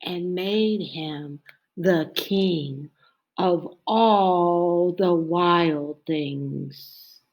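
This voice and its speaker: A woman reading a children's picture book aloud in a dramatic, expressive way, stretching out phrases and dropping into hushed tones. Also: on the low side, extremely slow, dull audio. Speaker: storybook reader.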